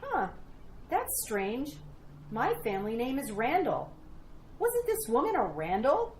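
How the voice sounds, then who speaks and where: Woman acting a high-voiced, haunted young wife. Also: wildly swooping pitch, a little slow. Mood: urgent, curious. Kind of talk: retro radio mystery acting. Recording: roomy echo, noticeable background noise.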